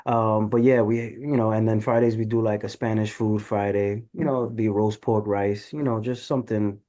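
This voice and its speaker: Laid-back low-voiced café owner. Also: male voice, normal speed. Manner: relaxed, laid-back, frequent "you know". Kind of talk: casual interview answers.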